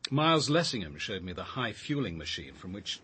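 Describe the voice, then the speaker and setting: An actor playing a reserved, analytical senior police officer in a radio drama, thoughtful and articulate. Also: male voice, on the low side, sing-song, fairly quick, sharp tone, slight background noise. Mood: analytical, reflective. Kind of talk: naturalistic radio drama acting.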